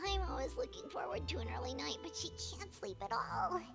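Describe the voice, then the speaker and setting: Tiny excitable game sprite, very high squeaky voice, bouncy lively pitch, third-person self-talk, game music behind. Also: female voice, gravelly, exaggerated voice. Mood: nervous. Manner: talks about herself in the third person; excitable, quick exclamations. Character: tiny floating female companion, excitable and chatty. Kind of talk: video game character dialogue. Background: game soundtrack music.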